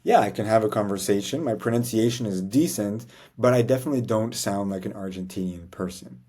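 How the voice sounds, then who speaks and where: A man's voice: on the low side, a little fast, warm tone, breathy edge. A man vlogging about learning a language, talking in an earnest, reflective way and often ending thoughts with "right?". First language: English. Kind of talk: casual talking-head vlog.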